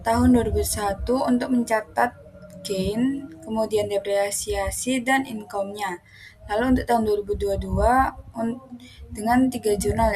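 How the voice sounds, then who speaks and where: A woman's voice: fairly high pitch, darkish tone, low-quality recording. A young woman explaining worked steps as a student presenter. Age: young adult. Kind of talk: explanatory class presentation, read step by step.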